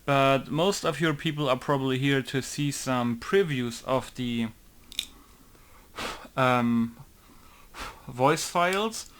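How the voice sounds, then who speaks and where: A man's voice: mid-range voice, fairly bright tone, a little hiss or hum. A young man casually talking through a game modding tutorial, rambling with many fillers and self-corrections. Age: young adult. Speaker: game modder.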